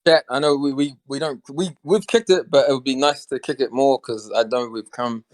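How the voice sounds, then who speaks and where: A young New man hosts a casual group voice call, friendly and slangy, calling everyone brother. His middle-pitched voice sounds dry and close to the mic, with no room echo. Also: moderate pace. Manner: friendly, slangy, calls people bro. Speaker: host. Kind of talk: casual hosted voice chat.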